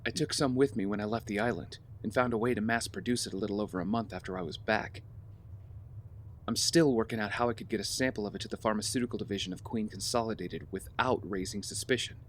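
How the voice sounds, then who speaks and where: A man's voice: lowish voice, quick pace, lightly acted. A man playing a brooding, disillusioned young vigilante in an audio drama, with a weary, earnest manner. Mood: guarded. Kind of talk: scripted audio drama acting. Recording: clear background noise with ambience.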